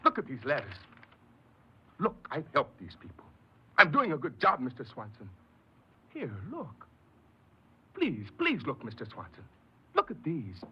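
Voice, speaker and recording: An actor playing a desperate, earnest ex-convict in a 1950s American radio crime drama, pleading and hesitant, often repeating himself. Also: male voice, mid-range voice, huge pitch swings, measured pace, long pauses, breathy edge, heavily acted. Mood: agitated pleading. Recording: muffled, hissy 1950s radio recording.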